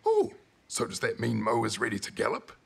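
An eager furry cartoon monster with a husky, bright voice. He is friendly and simple, hesitates often and speaks of himself by name in a New Zealand accent, with wildly swooping pitch. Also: huge pitch swings, fairly quick, heavily acted. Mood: hopeful. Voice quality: gravelly, husky. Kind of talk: preschool cartoon voice acting.

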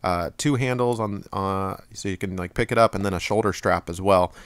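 A man with a fairly low voice walks through a product review in a loose, rambling way, full of ums and restarts. The sound is dry and close, with little room echo. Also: moderate pace, clean tone. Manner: relaxed, rambling with many fillers.

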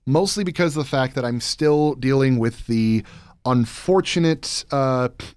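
Guy in his 30s, podcast guest explaining stuff, middle pitch, close dry mic. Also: unhurried, smooth, no room sound. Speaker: podcast guest, voice actor and game master. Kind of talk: casual interview chat. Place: podcast studio, indoors. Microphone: close studio mic on boom arm, headset monitoring.

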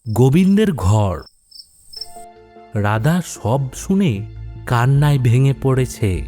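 A man's voice: mid-range voice, sing-song, takes it slowly, warm tone. A man narrating a scripted horror story in a dramatic storytelling style. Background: background music.

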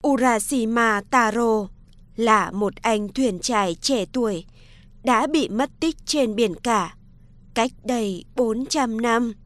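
A woman voicing a suspicious, puzzled elderly villager in a cartoon folktale, speaking slowly and questioningly. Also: on the high side, a bit bright, no echo, voice pushed down. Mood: puzzled, wary.

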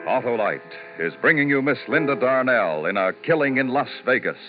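A man's voice: mid-range voice, animated, normal speed, narrow, tinny audio. A man announcing a show in dramatic 1950s radio style. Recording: band-limited 1950s radio, loud music.

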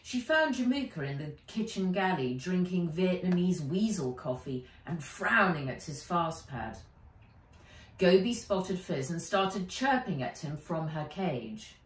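Slow British woman reader, roomy sound. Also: fairly low pitch, animated. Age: middle-aged. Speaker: children's author reading her own book. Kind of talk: storybook read-aloud. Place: bright room by garden windows, indoors. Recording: roomy, a little distant from mic.

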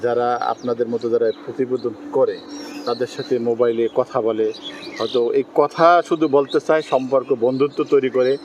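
Quick-asking man, faint outdoor birds. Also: medium pitch, steady tone, a little mellow, lo-fi sound, slight background noise. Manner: direct, probing questions. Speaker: interviewer. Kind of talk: street interview questions.

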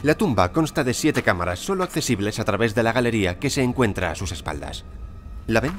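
A male actor playing a self-assured, courteous gentleman archaeologist in an audio drama, formal and precise. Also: on the low side, sing-song, fairly quick. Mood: coolly commanding. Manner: formal, polite, unruffled, precise. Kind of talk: audio drama acting and first-person narration. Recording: music behind the voice.

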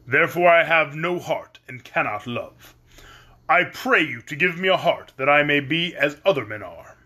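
A polite, gentle storybook tin man who speaks earnestly, as a reader puts him on. The voice is husky and close to the reader's own. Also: mid-range voice, animated, moderate pace.

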